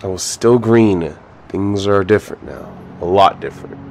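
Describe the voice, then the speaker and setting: A man's voice: sits low, moderate pace, darkish tone, slight background noise. A young man acting in an audio drama as an earnest, brooding vigilante superhero, self-serious and exasperated. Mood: defensive. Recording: music bed, some lines in reverb.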